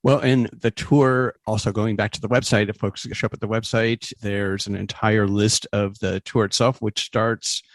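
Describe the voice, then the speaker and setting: A man's voice: on the low side, level tone, moderate pace, few pauses, dry recording. A man interviewing guests as a podcast host, in a conversational style with recaps and questions.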